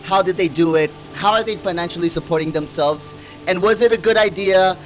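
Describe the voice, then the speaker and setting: A man with a fairly high voice hosts a relaxed interview, chatty and encouraging, dropping "bro" into his questions. Music runs under his voice throughout. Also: brisk, low-quality recording. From grew up in Florida. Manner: friendly, lots of "yeah, yeah" and "bro". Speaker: podcast host. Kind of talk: casual interview.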